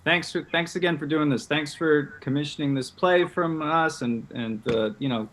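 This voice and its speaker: A man's voice: a little high, moderate pace, a bit bright, slight background noise. A man hosting a casual interview over a video call. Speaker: host from a theatre group, interviewer.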